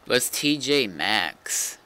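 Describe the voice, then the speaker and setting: A man who streams games, chatting casually in a low-key way with brief replies. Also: sing-song, drawn-out words, pushed and bright. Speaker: game streamer. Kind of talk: casual chat. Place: at a PC on online voice chat.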